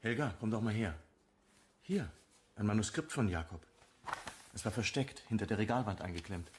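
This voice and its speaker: An actor playing a sober first-person narrator in a crime radio drama, restrained, in short sentences. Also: male voice, on the low side, expressive, normal speed, slight background noise, close to an everyday voice.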